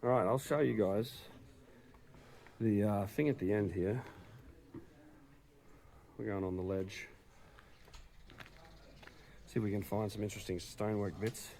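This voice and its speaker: A hoarse man with a low, mellow voice chats his way around old stone ruins, casually pointing things out to his viewers. He keeps the commentary loose and conversational. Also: unhurried, long pauses. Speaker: host on a site tour.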